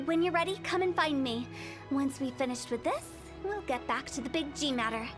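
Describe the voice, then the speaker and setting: A woman's voice: high-pitched, expressive, normal speed. A woman voicing a confident, theatrical young funeral director in a video game. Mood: confident, bossy. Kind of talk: English video game voice acting. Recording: game music playing behind.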